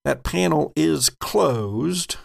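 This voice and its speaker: A man with a gravelly voice narrating a tabletop roleplaying game as game master, descriptive and deliberate. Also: on the high side, lively intonation. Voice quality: gravelly, creaky.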